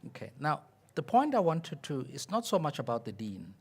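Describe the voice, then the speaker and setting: Casting: university head as panel moderator, slightly high male voice, measured and probing. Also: wildly swooping pitch, husky. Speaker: vice-chancellor moderating panel. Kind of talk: facilitating a panel dialogue.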